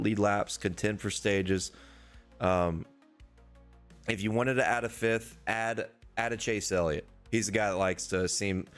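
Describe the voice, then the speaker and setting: A hoarse man, a young adult, hosting a casual sports-betting preview and swearing freely in a loose, rambling way. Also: fairly low pitch. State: hoarse, losing his voice. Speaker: sports betting podcast host.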